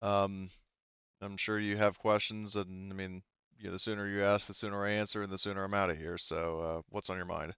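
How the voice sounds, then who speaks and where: A man acts out a guarded woman defecting from a corporation in a sci-fi tabletop game. The speech is subdued and hesitant, in a smooth voice. The sound is dry and slightly muffled. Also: sits low, little pitch movement, brisk, fairly bright tone, no room sound. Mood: guarded, uneasy.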